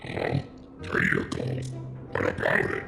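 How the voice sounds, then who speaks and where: A man voicing a huge animatronic alligator with a gruff growl, slangy and soft-hearted, in a fan cartoon dub. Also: measured pace, natural voice. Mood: puzzled, gentle. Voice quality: deep gravelly growl. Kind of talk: fan comic dub acting. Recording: echoey, with loud music.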